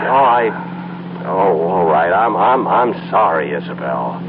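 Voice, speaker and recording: Harried American husband, swooping old-radio delivery. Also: male voice, deep voice, lively intonation, unhurried. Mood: flustered, apologetic. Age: middle-aged. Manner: flustered, stammering, swooping emphasis. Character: harried, defensive ad executive husband, obsessive. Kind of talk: old-time radio drama acting. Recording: thin, noisy phone-like old radio broadcast.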